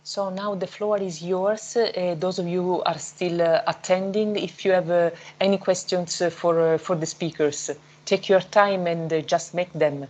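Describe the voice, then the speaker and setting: A woman moderating an online panel, asking questions and inviting the audience, with halting speech full of fillers. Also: fairly low pitch, fairly even intonation, darkish tone. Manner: hesitant, many fillers.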